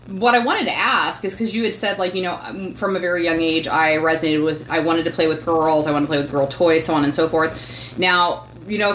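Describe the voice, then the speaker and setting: A woman hosts a casual podcast interview in a fairly low voice, asking long rambling questions full of restarts and fillers. The room around her is echoey, with a faint hum of background noise. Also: a bit bright. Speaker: podcast host.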